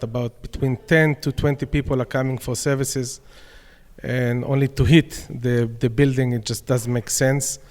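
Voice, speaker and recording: A man's voice: medium pitch, darkish tone. Israeli-accented man in an echoey room. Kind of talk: casual interview answers. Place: community centre dining room.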